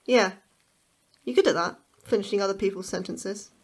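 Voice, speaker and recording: A woman's voice: mid-range voice, moderate pace, slightly rough. A woman acting an anxious, prickly astrobiologist in a naturalistic audio drama, hesitant, blunt and defensive. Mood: downcast, deflecting.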